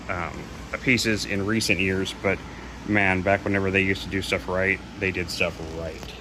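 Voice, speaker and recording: A man giving a casual, unscripted equipment demo in a loose, somewhat hesitant way, readily admitting what he doesn't know. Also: sits low. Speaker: presenter demonstrating audio equipment. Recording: clearly noisy home recording.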